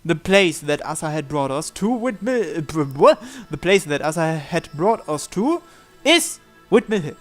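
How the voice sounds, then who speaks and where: A man with a fairly high voice reads visual novel narration aloud as a let's play host. He sometimes stumbles or steps out to comment casually, while music plays behind him. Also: big pitch swings, measured pace. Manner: stumbles and breaks off to comment on the text. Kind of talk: reading story narration aloud with casual asides.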